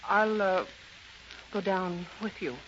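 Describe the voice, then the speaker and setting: A woman's voice: medium pitch, slow, lightly acted. A woman plays a guarded hostess with a motherly, protective streak, in vintage radio drama style. She speaks with restraint and reassurance. The recording is dull and slightly noisy. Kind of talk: 1930s radio drama acting.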